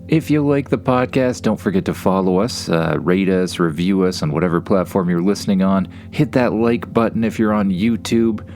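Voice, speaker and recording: A man's voice: on the low side, darkish tone. A man with a Canadian accent hosts and narrates a children's bedtime story in an informal, conversational way, with wry, dry playfulness.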